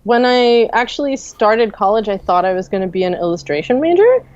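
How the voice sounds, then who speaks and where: A woman's voice: on the high side, fairly quick, highs cut off. A young woman from Russia, a game designer, talking casually in a podcast interview with fillers and small laughs. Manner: relaxed, soft-spoken, many fillers and laughs.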